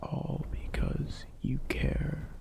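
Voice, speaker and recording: A man as a slow, whispering, tender boyfriend. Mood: warm, reassuring. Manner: soft, breathy whispering, gentle and deliberate. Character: tender, adoring boyfriend comforting a sad partner. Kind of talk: ASMR roleplay, whispered.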